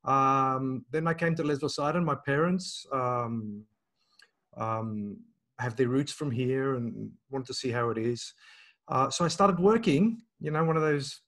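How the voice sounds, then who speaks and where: A man's voice: mid-range voice, measured pace, a bit bright. A man in his forties from Australia, talking hesitantly with many ums and restarts on a video call. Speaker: language school owner. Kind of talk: casual video call chat.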